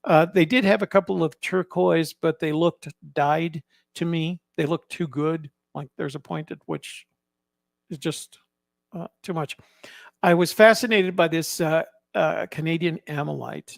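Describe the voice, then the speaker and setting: Male vlog host, casual halting explainer, crackly fry in the voice, very dry close sound. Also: fairly high pitch, steady tone, dry recording. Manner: halting, explanatory, repeats and rephrases. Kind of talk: casual narrated photo commentary.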